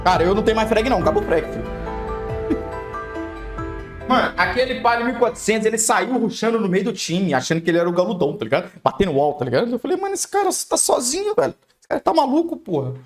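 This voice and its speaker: Young Brazilian male game streamer, quick and wildly animated, repetitive, sweary, music behind. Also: high-pitched. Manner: excitable, repetitive, heavily profane slang. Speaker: gaming streamer. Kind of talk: excited live game-stream commentary. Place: indoor room, webcam streaming setup.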